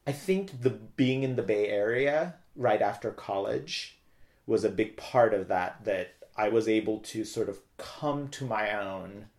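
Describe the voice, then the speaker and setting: Low-voiced, talkative man. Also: lively intonation, measured pace, a little mellow. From Turkey. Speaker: interview guest, theater director. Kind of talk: casual personal storytelling in an interview.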